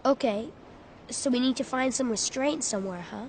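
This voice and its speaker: A woman voicing a polite, earnest young boy hero in an animated fantasy game. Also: fairly high pitch, moderate pace, noisy background, lightly acted. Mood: curious. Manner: earnest, questioning.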